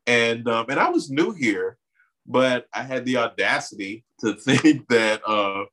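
A man being interviewed, talking with lively, animated energy. He leans on frequent "you know" fillers as he tells his stories. His pitch jumps up when he gets excited. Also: a little slow. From Bahamas, raised in Miami. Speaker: artist and community organizer guest. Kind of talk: interview conversation.

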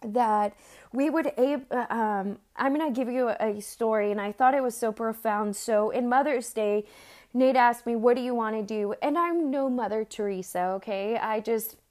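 A woman's voice: fairly high pitch, moderate pace, darkish tone. A woman from Mexico in her thirties or forties giving an earnest, conversational sermon, telling personal stories with frequent fillers and restarts. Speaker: church speaker.

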